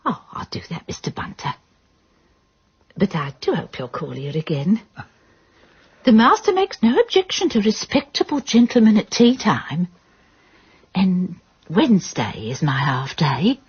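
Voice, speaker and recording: An actress playing a friendly, coy domestic servant in a radio drama, eager and deferential, with a breathy, husky voice. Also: female voice, fairly low pitch, big pitch swings, unhurried, long pauses, lo-fi sound, exaggerated voice. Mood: coyly inviting.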